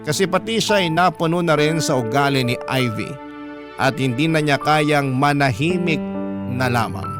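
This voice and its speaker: Higher-pitched Filipino male storyteller over music. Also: normal speed. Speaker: radio storyteller reading a listener's letter. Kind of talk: scripted dramatic love-story narration. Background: music bed.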